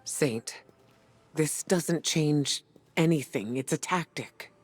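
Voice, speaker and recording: A woman voicing a solemn, steady commander in a video game, calm and deliberate, with a breathy, husky voice. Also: low-pitched, soft background music. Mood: firm, reassuring. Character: solemn, steady female commander offering reassurance.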